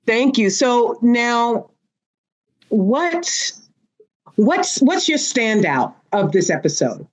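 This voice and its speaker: A woman's voice: fairly high pitch, very animated, slow. A woman hosting a casual panel chat, prodding her guests in a teasing way and repeating her phrases. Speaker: host of a panel discussion.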